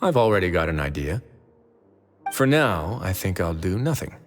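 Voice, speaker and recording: An actor playing a composed, stern, principled prison fortress duke in a video game, speaking in a measured, deliberate, wry way. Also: male voice, low voice, sing-song, faint music behind. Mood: calm, reflective. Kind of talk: dramatic video game voice acting.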